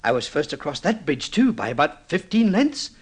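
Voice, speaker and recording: An actor playing an excitable, obsessive newlywed man in an old-time radio drama, halting and stammering as he tells his story. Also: on the high side, slightly rough. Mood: proud, excited. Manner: halting, stammering repeats, swinging into boastful excitement.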